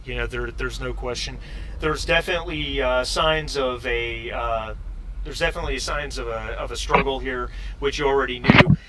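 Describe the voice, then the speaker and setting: A man narrating a tabletop game as game master, in a halting way with repeated starts. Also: mid-range voice, steady tone, far from the mic, noisy background.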